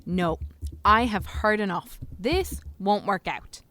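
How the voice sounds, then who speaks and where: A woman's voice: mid-range voice, slow pace, a bit bright. A woman acting a sceptical, indignant parliament delegate in a radio play, formal and challenging. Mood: indignant, dismissive.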